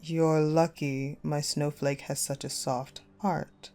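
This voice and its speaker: A woman playing a cold, possessive ice-queen general in a dark romance audio roleplay, commanding and menacing. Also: low voice, little pitch movement, a little hiss or hum. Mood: grudging, stern. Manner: commanding, menacing, slow and deliberate, dropping to hushed.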